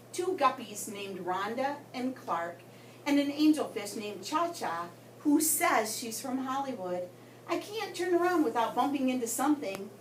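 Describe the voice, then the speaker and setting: A woman reading a story aloud to children in an expressive way, with dramatic pauses and emphasis. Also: a little high. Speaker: guest story reader for children. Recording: roomy, distant mic with background noise.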